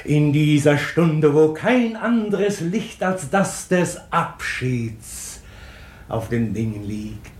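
An anxious, wistful old stage actor in a radio play. His voice sits a little high, declaiming solemn verse slowly, then faltering into muttered doubt. The sound is echoey. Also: animated, darkish tone. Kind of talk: German radio drama acting.

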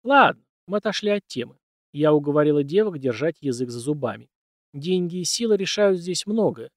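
A man's voice: on the high side, animated, a little fast, dry recording, clean studio sound, voice pushed up, lightly acted. A man voicing a condescending, shrewd young noblewoman's secretary in an audiobook, with a cool matter-of-fact manner. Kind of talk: audiobook character dialogue.

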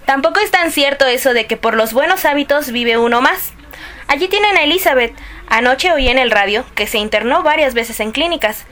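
A woman's voice: high voice, animated, brisk, sharp tone. An actress with a Mexican accent playing a touchy, gossipy older woman in a radio drama, opinionated and teasing.

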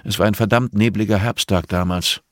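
A man's voice: fairly low pitch, steady tone, a little fast, dry recording, studio quality. A man reading scripted prose as the narrator of an audiobook.

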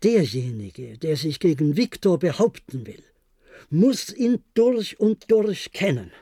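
Radio play role: weary elderly former diplomat, reflective and insistent, fairly high-set soft-toned voice. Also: male voice, sing-song, takes it slowly, slightly rough, dry recording, studio quality, heavily acted. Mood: pensive. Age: older. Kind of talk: German radio play acting.

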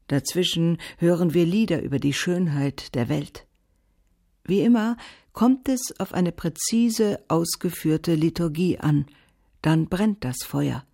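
A woman's voice: fairly low pitch, a little mellow. An actress in a radio drama playing an assured, didactic female Veda teacher. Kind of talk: radio drama, scripted panel lecture.

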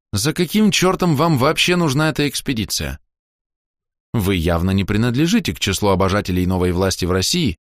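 A man's voice: lowish voice, dry recording, clean studio sound, close to an everyday voice. A man voicing a blunt, sceptical statesman in his forties in an audiobook, direct and emphatic.

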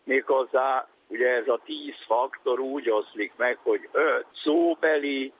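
Man lecturing through tinny, phone-like sound. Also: medium pitch. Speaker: education and psychology speaker. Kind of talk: explanatory talk on education. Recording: tinny phone-like radio sound, faint noise.

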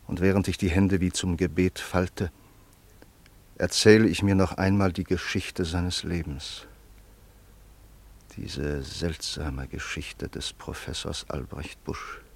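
A grave, gravelly old friend. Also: male voice, low voice, full character voice. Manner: measured, grave narration. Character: solemn middle-aged man, loyal old friend. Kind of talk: 1930s period radio drama.

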